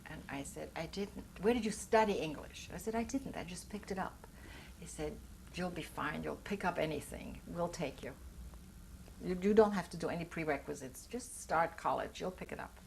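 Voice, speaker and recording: An older woman, German by mother tongue, speaks in a slightly low voice during an oral history interview. She is reflective and earnest and pauses to search for the right words. A faint hiss sits under the recording. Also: gravelly, a little mellow.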